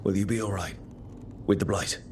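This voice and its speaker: An actor playing a devoted, resolute heroic leader in a video game, earnest and protective in delivery. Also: male voice, fairly low pitch, steady tone, normal speed, a little mellow, slight background noise, lightly acted. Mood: tender, worried. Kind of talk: dramatic video game cutscene acting.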